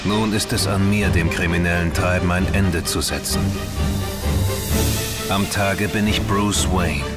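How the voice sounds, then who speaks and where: A grim vigilante hero, with a very deep male voice and slow, solemn delivery. It is a dramatic audio drama monologue over loud music. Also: fairly even intonation. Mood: grim resolve.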